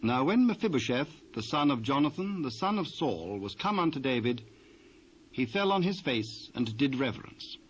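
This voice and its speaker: A man with a British accent narrating scripture in a formal, measured way. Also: mid-range voice, sing-song. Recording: dull, faintly noisy old cassette transfer.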